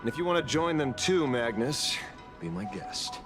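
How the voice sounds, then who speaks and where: An actor playing a jaded, menacing street fighter with sardonic wit in an anime game, speaking in a cool, deliberate way. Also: male voice, medium pitch, animated, lightly acted. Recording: loud music underneath.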